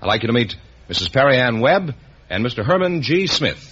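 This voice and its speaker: A man's voice: mid-range voice, very animated, fairly quick. A man announcing contestants on a vintage radio show in a formal presenting style. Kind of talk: 1940s-50s radio announcing. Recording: dull vintage radio, faint noise.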